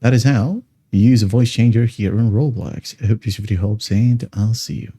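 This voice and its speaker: A man hosting a casual step-by-step software tutorial, often checking in with short tags like okay and right. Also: on the low side, steady tone, normal speed, breathy edge. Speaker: tutorial host.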